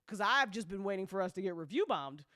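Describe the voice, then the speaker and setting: A woman's voice: mid-range voice, expressive, fast talker, sharp tone, dry recording, clean studio sound. A woman hosting a casual update talk as an audio drama producer, speaking bluntly and informally with playful slang and free swearing.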